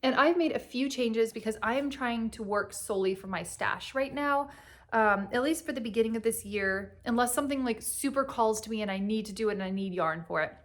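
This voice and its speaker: A woman's voice: fairly high pitch, fairly quick. A young woman with a cold and a sniffly voice, rambling in a casual chat as host of a knitting podcast, with plenty of fillers. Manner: rambling, chatty, with frequent fillers and laughs.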